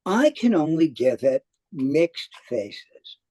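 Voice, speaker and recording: Hesitant man reviewing theater, animated pitch. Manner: halting, with frequent hesitations. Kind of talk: semi-scripted spoken review.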